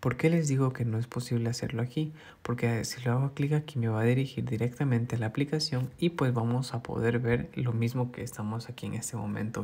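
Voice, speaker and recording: A man's voice: mid-range voice, gravelly, a little mellow, breathy edge. A man hosting a phone app tutorial, explaining each step patiently and repeating himself. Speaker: tutorial host. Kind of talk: screen-recorded phone tutorial.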